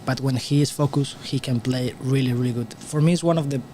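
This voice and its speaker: A young man from Spain with a Spanish accent, a guest player commenting on pool in halting, restarting English from a tournament booth. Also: mid-range voice, mellow, a little hiss or hum. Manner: halting non-native English with frequent restarts.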